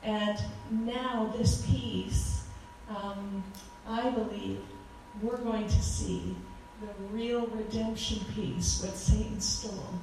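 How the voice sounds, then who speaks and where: A woman in her forties with a loud voice, giving a fervent talk as a guest speaker at a church, with frequent ums. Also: mid-range voice, steady tone, slow pace, vocal fry, a little hiss or hum. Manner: earnest, fervent, with many ums. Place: church hall. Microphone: handheld microphone. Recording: echoey hall from far off.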